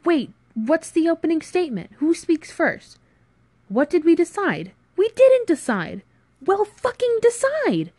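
A woman voicing a loud, irritable hungover man who swears and shouts in exasperation in audiobook dialogue. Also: fairly high pitch, expressive, measured pace, no echo. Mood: agitated.

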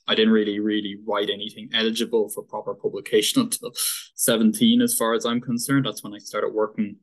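Low-voiced young Dublin man, earnest. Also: level tone, fairly quick. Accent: Dublin Irish. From Ireland. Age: 20s. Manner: earnest, thoughtful storytelling. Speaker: author guest. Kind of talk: casual interview chat.

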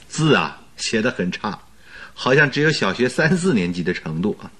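An actor playing a good-natured, curious doctor in a radio drama, with a coaxing, excitable manner. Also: male voice, fairly low pitch, measured pace, husky, full character voice. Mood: amused.

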